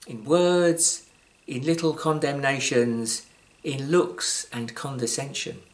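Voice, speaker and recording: A British man with a slightly high voice reads literary fiction as its author. His delivery is measured and wry, leaning on dramatic pauses. Emphasis shifts from phrase to phrase. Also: a bit bright. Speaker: author reading his own short story. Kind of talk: scripted literary storytelling, live reading.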